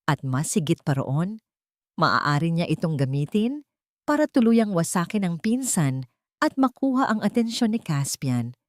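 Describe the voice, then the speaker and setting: A woman's voice: lowish voice, sing-song, measured pace, no echo, clean studio sound. A woman with a Filipino accent reading a scripted dramatic story as an audiobook narrator.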